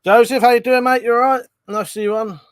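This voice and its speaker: A man's voice: very high-pitched, steady tone, fast talker, clean tone, no echo, studio quality. A man hosting a live stream, reading out viewer comments in a rambling, chatty way, congested from a cold and coughing now and then. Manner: chatty, rambling, frequent ums and restarts.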